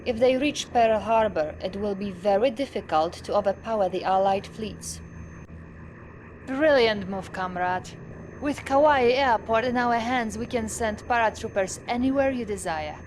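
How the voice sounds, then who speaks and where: A woman voicing a dutiful young officer who reads out crisp, formal reports in a fairly high voice. Her delivery is businesslike and steady, as in a strategy game briefing. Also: a little slow, a little hiss or hum, close to an everyday voice. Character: brisk young female Soviet officer, dutiful. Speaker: military officer giving reports. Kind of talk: video game mission briefing.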